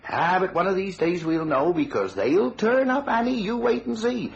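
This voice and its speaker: A local English police sergeant in a radio thriller. He is blunt and gossipy, with a fairly high, very mobile voice and ungrammatical, knowing talk. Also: animated, moderate pace, creaky, highs cut off, very noisy.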